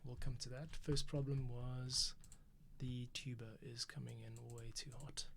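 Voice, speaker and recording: A man's voice: mid-range voice, level tone, unhurried, creaky, a bit bright, slight background noise. A man hosting a music composition livestream, thinking aloud in short, casual remarks as he works, with music behind him. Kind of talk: casual commentary while working. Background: music, keyboard typing.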